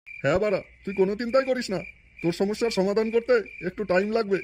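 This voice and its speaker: A pompous tantric guru played by a man in a comic cartoon, pitched high for a male voice. He is vulgar and bombastic, given to chanting, over clear background noise. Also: quick pace, darkish tone, natural voice. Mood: pompous, assured. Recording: clearly noisy recording.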